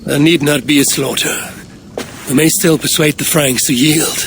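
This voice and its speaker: A man's voice: fairly high pitch, steady tone, normal speed, noisy background, lightly acted. A man voicing a terse, blunt Viking warrior. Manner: terse and level. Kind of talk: video game cutscene acting.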